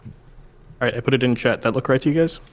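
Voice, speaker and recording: A man's voice: medium pitch, fast, slight background noise. A man playing a tabletop game, joking casually with dry, mock-earnest humour.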